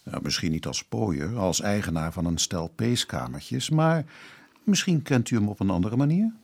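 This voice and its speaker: A man playing a probing but considerate police inspector in a radio drama, husky and careful with words. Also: fairly low pitch, big pitch swings, normal speed, a little mellow, exaggerated voice.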